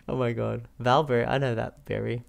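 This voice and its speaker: A young man giving casual, relaxed gameplay commentary as a streamer, in a loose, repetitive chatty way. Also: medium pitch, vocal fry. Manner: relaxed, giggly, repeats himself. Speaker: game streamer. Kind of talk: casual reaction commentary while playing.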